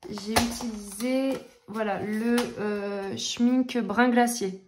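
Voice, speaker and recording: A French woman in her thirties with a cold, chatting loosely through a home vlog in her office, trailing off and restarting her sentences. Her voice sits in a middle pitch. A faint hiss stays under the recording. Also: fairly even intonation, takes it slowly. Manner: rambling, many fillers and restarts, self-interrupting. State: has a cold. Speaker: coloring vlogger.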